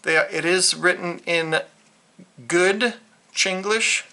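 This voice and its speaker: A man's voice: sits high, slow, bright, forceful tone. A man giving a casual, unscripted product review, rambling and hesitant, often trailing off mid-thought. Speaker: hobbyist video reviewer.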